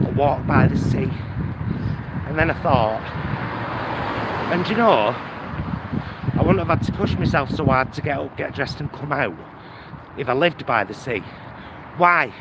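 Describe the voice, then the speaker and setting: A man thinking aloud in a rambling, casual vlog, recorded on a phone while walking outdoors. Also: medium pitch. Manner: rambling, musing, talking to himself with rhetorical questions. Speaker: vlogger. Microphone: phone on a selfie stick. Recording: loud wind and traffic noise. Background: cars, wind, urban outdoor noise.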